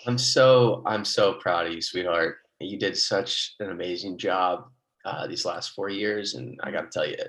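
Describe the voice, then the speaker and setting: A man playing a morose, apologetic dad type in improv comedy, halting and earnest. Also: deep voice, a bit bright, low-quality recording. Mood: earnest, bleak. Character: morose, nihilistic, apologetic dad and beer-drinking park guy.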